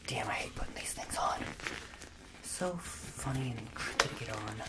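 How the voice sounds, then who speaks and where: A woman playing a possessive, soothing vampire doctor in a breathy, hypnotic whispered ASMR roleplay. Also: a bass voice, lively intonation, low-quality recording. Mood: businesslike, muttering. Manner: slow, hypnotic, softly commanding. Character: possessive, soothing female vampire doctor turning a human into her thrall.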